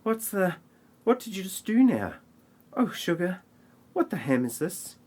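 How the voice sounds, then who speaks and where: A man's voice: high-pitched, sing-song, a little slow, darkish tone, husky, voice pushed up, exaggerated voice. A man voicing a bossy, pleading woman in a spoof film audio play, with a thin, strained, exaggerated urgency. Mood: bewildered.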